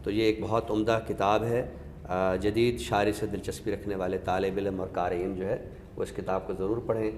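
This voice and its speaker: A man with a Pakistani accent, giving a literary talk with recited verse in a measured, explanatory way with pauses. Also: lowish voice, flat delivery, darkish tone. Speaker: host of an Urdu literature show. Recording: echoey reverberant room, faint noise.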